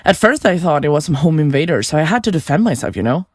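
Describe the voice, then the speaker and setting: A chirpy, remorseless woman who kills for her podcast. Her delivery is very animated and theatrical, sliding up and down with blithe self-regard. It is audio drama acting in a dry recording space. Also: deep voice, fast, dry recording. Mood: feigned innocence. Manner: blithe, chatty, flippant, theatrical. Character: chirpy, self-absorbed female podcaster and remorseless serial killer. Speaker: suspect under interrogation.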